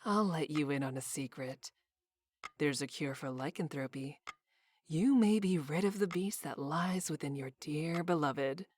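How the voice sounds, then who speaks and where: A woman's voice: deep voice, unhurried, a little mellow. A woman voicing a sly, haughty, teasing woman with formal, arch phrasing in a visual novel. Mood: sly, confiding. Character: sly teasing haughty woman, formerly violent, now playful. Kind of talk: visual novel character voice reading.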